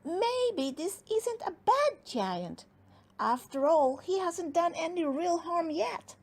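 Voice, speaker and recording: A woman voicing a brave, calm male gnome who reassures the others and takes charge in a storybook reading. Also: very high voice, slow pace, voice pushed up, full character voice. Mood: reassuring.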